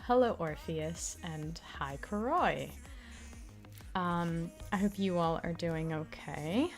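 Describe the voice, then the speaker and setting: A woman streamer chatting casually with her viewers, rambling with many fillers, her throat still slightly raspy from an illness. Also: lowish voice, takes it slowly. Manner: rambling, full of fillers and restarts. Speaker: streamer and audio creator. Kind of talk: casual livestream chat.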